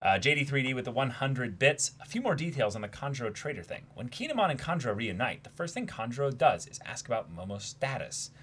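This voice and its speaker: A man co-hosting a casual livestream, reading out viewer messages and thinking aloud in an animated, sometimes halting way. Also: mid-range voice, a little hiss or hum. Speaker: livestream co-host.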